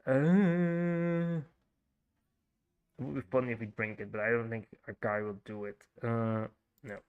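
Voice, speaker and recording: A man's voice: on the high side, clean tone. Male streamer, Frisian Dutch accent, laid-back game commentary, chatty with viewers, lots of restarts. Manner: chatty, thinks aloud, greets chat, many fillers and restarts. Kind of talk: casual livestream commentary while playing.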